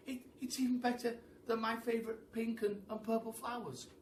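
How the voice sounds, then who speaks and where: A man voicing a gentle, gushing female giraffe from a children's storybook. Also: very high-pitched, flat delivery, moderate pace, a little hiss or hum, voice pushed up. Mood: delighted. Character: gentle, delighted female giraffe with long throat. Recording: roomy echo, distant mic.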